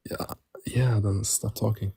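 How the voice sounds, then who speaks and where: A man's voice: lowish voice, steady tone, unhurried, vocal fry, mellow, breathy edge, no echo. A man speaking through a voice changer in a live religious debate, terse and insistent, with a muffled voice. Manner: terse, insistent, repeatedly pushing to move on. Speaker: debate participant.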